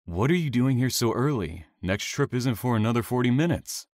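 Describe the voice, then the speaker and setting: A man playing a reserved, quietly intense train operator with veiled menace in an audio drama, speaking in a measured, courteous way. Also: medium pitch, expressive, dry recording, studio quality, natural voice. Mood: irritated.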